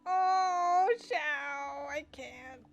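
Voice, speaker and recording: A woman's voice: high-pitched, drawn-out words, vocal fry, muffled recording. A woman giving casual, emotional, exclamatory reaction commentary as she plays a game. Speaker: gameplay streamer.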